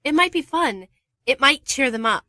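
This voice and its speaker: A woman's voice: sits high, animated, normal speed, pushed and bright, no room sound, clean studio sound. An actress playing a playful, kind young woman with an enthusiastic, supportive manner in a modern radio play. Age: young adult.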